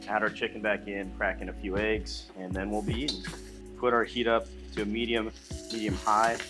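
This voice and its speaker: A young man talking viewers through a recipe in a small studio kitchen set, relaxed and chatty, with music playing underneath. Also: on the low side, normal speed. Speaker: cooking vlogger. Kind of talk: casual cooking demonstration. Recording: clear background music under voice.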